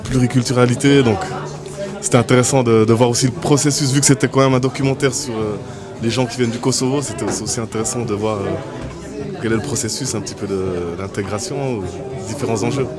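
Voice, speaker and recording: Man, guest, rushed, repeats himself, fast, crowd and music. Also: medium pitch, fairly even intonation. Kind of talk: casual chat.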